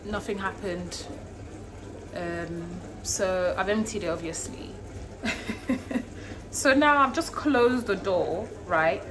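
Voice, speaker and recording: A woman's voice: medium pitch, slow pace, pauses often, background noise. A young woman chatting casually and expressively as she cooks in her home kitchen, filming on a handheld phone. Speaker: cooking vlogger. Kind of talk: casual cook-along vlog chat. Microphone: phone held in hand. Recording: music playing underneath.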